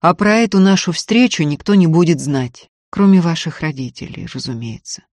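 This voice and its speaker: Someone reading as weary serious gentleman landowner, firm, guilty, dramatic audiobook, close dry recording. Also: mid-range voice, animated, moderate pace, no room sound, lightly acted. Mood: heavy, resolute. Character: Zakatov, weary grave young landowner, guilt-ridden but firm.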